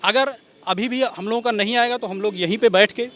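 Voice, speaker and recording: Fast, high-voiced young man. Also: fairly bright tone, slight background noise. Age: young adult. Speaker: job candidate at a protest. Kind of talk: street interview.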